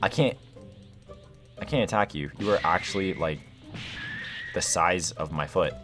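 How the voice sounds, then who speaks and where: A young adult man gives casual gameplay commentary, excitable and slangy as he thinks aloud. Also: sits low, measured pace, music in the background. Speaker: gaming video host.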